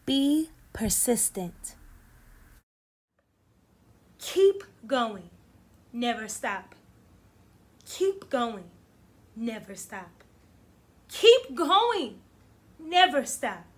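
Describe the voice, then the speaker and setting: A young woman teacher reading a picture book aloud to children in an animated, deliberate, encouraging way. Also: fairly high pitch, slightly rough. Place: small indoor room against plain backdrop.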